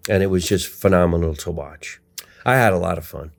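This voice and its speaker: Low-voiced comedian host, blunt and casual. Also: male voice, brisk, gravelly. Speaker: podcast host and stand-up comedian. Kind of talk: casual podcast chat.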